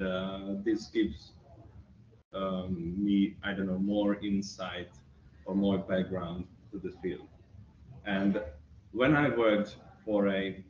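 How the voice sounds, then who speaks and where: Slow Hungarian-accented man, distant and roomy. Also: low-pitched, darkish tone. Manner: thoughtful, with many pauses and fillers. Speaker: researcher. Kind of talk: interview answer. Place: office room by a large window. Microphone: far camera. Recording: roomy, distant, muffled, faint hiss.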